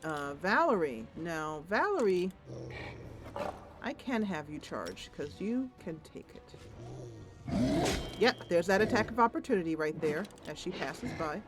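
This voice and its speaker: A woman's voice: on the low side, very animated, measured pace, pauses often, loud music behind the voice. A woman playing a fantasy roleplaying game, thinking aloud with enthusiasm and narrating the story dramatically. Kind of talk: gameplay commentary mixed with dramatic narration.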